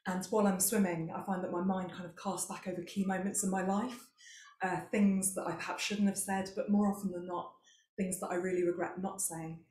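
Youngish woman, lowish voice, roomy echo. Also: flat delivery, brisk, a little mellow. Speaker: competition finalist, playwright. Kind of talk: informal introduction of own work. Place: indoors, large parlour with fireplace.

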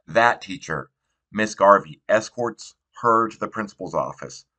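Bright-voiced man reading a novel aloud. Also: lowish voice, animated, measured pace. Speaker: audiobook reader. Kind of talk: read narration of a young adult novel.

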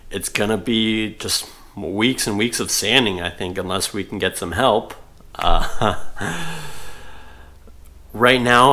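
A man in his thirties giving a casual, chatty vlog update to camera from a couch at home, with many fillers. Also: lowish voice. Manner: relaxed, chatty, lots of fillers and laughs. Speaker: sailing vlog host. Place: living room, seated on couch indoors.

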